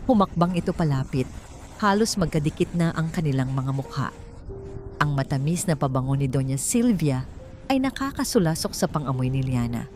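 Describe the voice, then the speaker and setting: A woman narrates scripted romantic fiction as a dramatic storyteller. Her voice sits a little low, with faint music playing underneath. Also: darkish tone.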